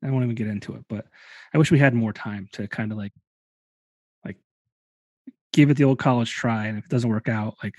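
A man's voice: medium pitch, brisk, pauses often, darkish tone, no echo. A man in his late thirties hosting a podcast, musing casually with loose fillers. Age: 30s. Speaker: podcast host. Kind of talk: casual conversation.

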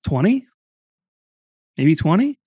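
A man's voice: fairly high pitch, sing-song, slow pace, dark tone, breathy edge, dry recording, muffled recording. A man in his late thirties hosting a podcast, musing casually with loose fillers. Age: 30s. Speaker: podcast host. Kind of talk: casual conversation.

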